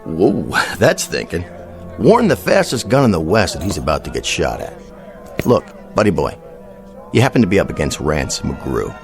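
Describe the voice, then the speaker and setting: An actor with an American accent playing a vain, petulant, bullying cowboy star in a radio drama. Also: male voice, sits low, big pitch swings, normal speed. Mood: bullying, scornful. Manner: whiny, bullying, self-important. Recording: faint music behind the voice.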